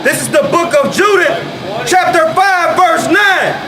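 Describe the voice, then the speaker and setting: A man loudly declaiming scripture as a reader, in drawn-out, deliberate phrases. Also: very high voice. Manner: loud, drawn-out, deliberate delivery. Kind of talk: shouted, declaimed scripture reading. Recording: very noisy, echoey, crowd sound behind.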